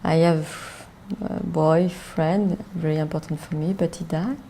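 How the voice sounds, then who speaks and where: A middle-aged woman from France with a French accent, speaking English as a second language in a reflective interview, halting and searching for words. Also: low-pitched, steady tone, dark tone, a little hiss or hum. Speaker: documentary interviewee.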